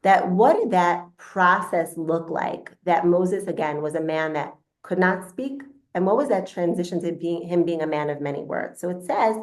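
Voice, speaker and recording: Woman with a lowish voice giving a spiritual class, chatty, says key phrases again to make the point. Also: darkish tone. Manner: conversational, repeats phrases for emphasis. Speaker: religious teacher. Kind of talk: spiritual class, informal teaching.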